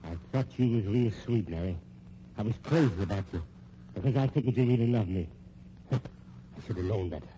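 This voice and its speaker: An actor playing a vengeful, folksy old rich American husband in a vintage radio drama, hesitant, rambling and slyly menacing. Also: male voice, lowish voice, animated, brisk, pauses often, dark tone, natural voice. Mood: wounded, rueful. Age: older. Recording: muffled, noisy old radio recording.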